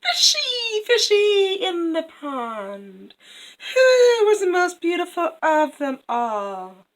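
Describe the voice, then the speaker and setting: A woman's voice: very high voice, wildly swooping pitch, slow, voice pushed up, full character voice. A woman storyteller voicing a vain, jealous evil stepmother queen from a fairy tale, theatrical and imperious. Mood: crooning, vain.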